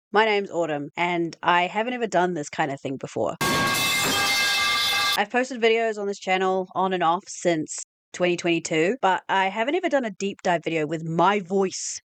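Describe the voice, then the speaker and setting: A woman's voice: medium pitch, noisy background. Wry young woman with a Kiwi accent. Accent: New Zealand. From New Zealand. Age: young adult. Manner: self-deprecating, wry, deadpan asides. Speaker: YouTuber. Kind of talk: scripted video essay narration. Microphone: cheap USB microphone.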